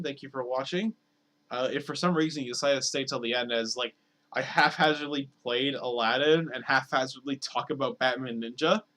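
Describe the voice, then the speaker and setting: A man streaming games, talking casually and rambling with lots of fillers, his voice slightly hoarse. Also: medium pitch, a bit bright. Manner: rambling, filler-heavy, repeats words. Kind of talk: casual live-stream chat over gameplay.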